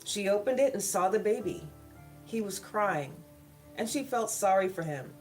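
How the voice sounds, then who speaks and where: A woman's voice: medium pitch, expressive, moderate pace, poor audio. A woman storyteller hosting a read-aloud of children's Bible stories, with casual asides to her viewers. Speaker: storyteller and channel host. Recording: background music behind the voice. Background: soft worship music.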